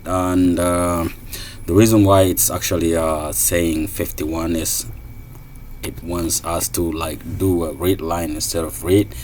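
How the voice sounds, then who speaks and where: A man's voice: sits low, darkish tone, a little hiss or hum. A man in his thirties from Somalia giving a casual coding tutorial, thinking aloud with many fillers and restarts. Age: 30s. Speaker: programming tutor. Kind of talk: screen-recorded coding tutorial.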